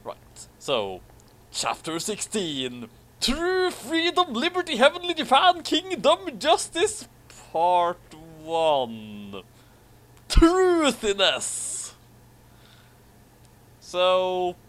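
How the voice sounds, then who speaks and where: A man's voice: sits high, huge pitch swings, drawn-out words, fairly bright tone. A man co-hosting a casual commentary, reading a story aloud theatrically and adding dry, sarcastic asides.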